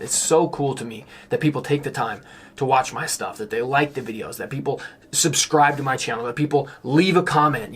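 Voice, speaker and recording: A slightly hoarse man giving a candid, earnest vlog monologue, speaking emphatically as someone a little under the weather. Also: medium pitch, a bit bright. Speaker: YouTuber on real estate investing.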